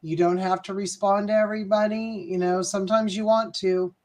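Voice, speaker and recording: A woman with a deep voice, co-hosting a casual live-stream chat in a chatty, agreeable way and laughing easily. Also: fairly even intonation, a little fast, darkish tone. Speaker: co-host of a live channel chat.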